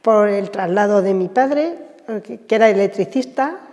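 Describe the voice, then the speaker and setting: A woman's voice: mid-range voice, animated, moderate pace, a little mellow, big-room sound. A woman in her fifties introducing herself in a slightly hesitant way. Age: 50s. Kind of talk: documentary interview testimony.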